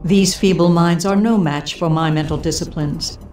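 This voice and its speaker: Dark, distant cold cyborg villainess, acted by a woman. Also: fairly low pitch, faint music behind, close to an everyday voice. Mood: smug, detached. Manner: formal, measured, imperious speech. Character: cold, coolly logical female Vulcan villain turned Borg leader. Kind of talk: scripted video game villain acting.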